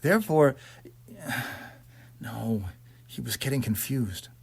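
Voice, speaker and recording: A man's voice: mid-range voice, lively intonation, takes it slowly, creaky, a little mellow, breathy edge. A man narrating a suspense story in a dramatic, theatrical manner with hesitant phrasing. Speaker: audiobook narrator.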